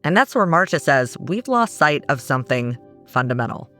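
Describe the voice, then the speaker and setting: A man's voice: a little high, sing-song, normal speed, pushed and bright, soft background music. A man, the show's reporter, narrating and asking questions with enthusiasm. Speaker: reporter and host. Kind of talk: narration and interview.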